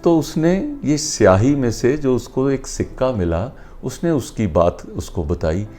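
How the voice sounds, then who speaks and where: A man with an Indian accent telling an inspirational moral story in an unhurried, explanatory, conversational way. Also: mid-range voice, expressive, warm tone.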